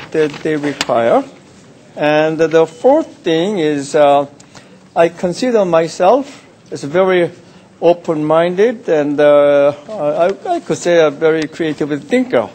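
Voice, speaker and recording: An older man from South Korea, a city councillor giving a measured, halting nomination speech. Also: on the high side, darkish tone. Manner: measured, halting, many "uh" pauses. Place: council chamber.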